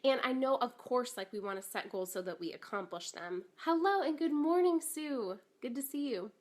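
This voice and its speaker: Woman, 30s, live-stream host with a cold-tinged rasp, chatty, upbeat, filler-heavy. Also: sits high, expressive, moderate pace. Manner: chatty and upbeat, many ums and likes, greets viewers. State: slightly raspy from a cold. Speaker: business coach hosting a live stream. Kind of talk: casual live-stream chat.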